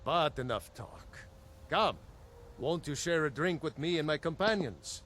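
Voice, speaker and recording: A man's voice: on the high side, lively intonation, unhurried, a bit bright. A man voicing a jovial, florid mead-loving reveler in a fantasy video game, with a hearty, theatrical delivery. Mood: convivial. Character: jovial, hearty male tavern reveler, poetic mead lover. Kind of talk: video game character dialogue.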